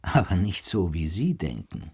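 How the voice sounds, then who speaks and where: An actor playing a calm, enigmatic witness in a radio play, speaking in a measured, cryptic way. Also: male voice, low-pitched, creaky, husky, heavily acted. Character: calm, enigmatic male witness asking for patience. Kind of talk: German radio play acting.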